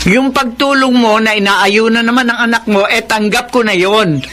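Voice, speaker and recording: An actress playing a blunt, suspicious, scolding older cousin in a Filipino radio drama. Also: female voice, on the high side, normal speed, a bit bright, slight background noise, close to an everyday voice. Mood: scornful, scolding.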